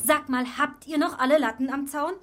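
A woman playing a sharp-tongued, fretful, scolding companion in a children's radio play, reproachful in manner. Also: sits high, steady tone, fairly quick, very noisy, lightly acted. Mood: indignant.

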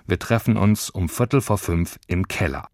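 A man's voice: deep voice, moderate pace, a little mellow, no room sound, clean studio sound. A man voicing a cocky young boy who fancies himself a stuntman in a children's story, cool and self-important.

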